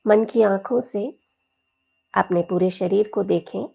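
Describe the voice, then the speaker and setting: Female meditation guide: very slow, soothing delivery, slightly creaky voice with a soft, breathy edge. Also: mid-range voice. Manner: soothing, gentle, unhurried with long pauses. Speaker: yoga teacher leading guided meditation.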